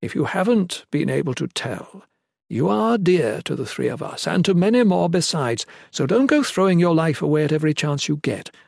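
A man voicing a stern but affectionate high-ranking matriarch with a formal, admonishing manner in a fantasy storybook. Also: big pitch swings, moderate pace, gravelly, no room sound, studio quality, exaggerated voice. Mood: grave, fond.

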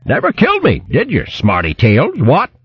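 A man's voice: a little high, wildly swooping pitch, moderate pace, slightly rough, no room sound, lo-fi sound, voice pushed up, heavily acted. A man voicing a pompous, whining old male hare from an animal storybook, melodramatic and full of old-fashioned posh British slang. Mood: wanly smug.

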